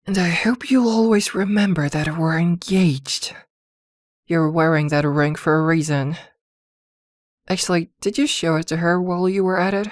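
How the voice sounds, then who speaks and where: A woman's voice: on the low side, moderate pace, no room sound, studio quality, natural voice. A woman playing a possessive, protective witch girlfriend in an ASMR roleplay, scolding and fussing tenderly by turns. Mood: jealous, possessive. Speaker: voice actor in an audio roleplay.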